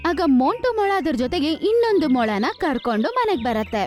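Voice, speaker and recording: A woman's voice: high voice, big pitch swings, a little fast. A woman giving scripted narration for a children's cartoon story. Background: music.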